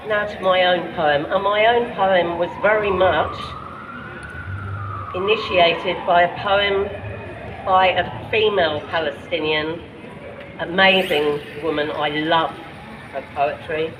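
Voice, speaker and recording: A man with a London accent, originally from Ireland, recites his own poetry at a public gathering. His voice sits high for a man, and his measured, impassioned phrasing stretches out every line. Loud ambient noise runs underneath him throughout. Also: fairly even intonation. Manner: impassioned, deliberate, with pointed rhetorical questions. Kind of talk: spoken-word poetry reading.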